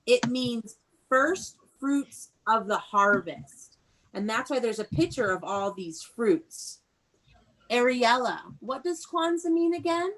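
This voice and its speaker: A woman's voice: fairly high pitch, lively intonation, slow, a bit bright, rough recording. Woman teacher leading an online read-aloud. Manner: instructive, prompting repetition, enunciating key words. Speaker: elementary school teacher. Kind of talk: interactive online read-aloud lesson for young children. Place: indoors, on a video call. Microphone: video call.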